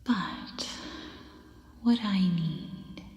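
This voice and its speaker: A woman playing an unhinged, coaxing cannibal survivor in an ASMR roleplay, in a soft breathy whisper that soothes and menaces. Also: lowish voice, very slow, lo-fi sound, a little hiss or hum. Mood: eerily calm.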